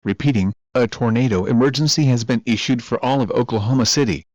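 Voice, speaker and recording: A man's voice: mid-range voice, fast, darkish tone, highs cut off. A man reading scripted weather emergency bulletins in a formal, automated-sounding delivery. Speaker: emergency alert announcer.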